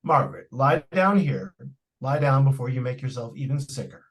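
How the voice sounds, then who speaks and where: A man's voice: medium pitch, animated, normal speed, natural voice. A man playing a patronizing, skeptical father in an old-time radio drama, with indulgent, slightly stammering delivery. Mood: concerned, firm. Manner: hesitant stammers, indulgent, dismissive. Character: patronizing, skeptical middle-aged father of a young girl. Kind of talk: 1940s radio drama acting.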